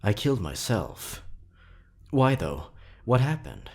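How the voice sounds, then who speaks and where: A man narrating scripted creepy stories in a dramatic, suspenseful, theatrical way with drawn-out pauses. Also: on the low side, big pitch swings. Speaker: horror story narrator and channel host.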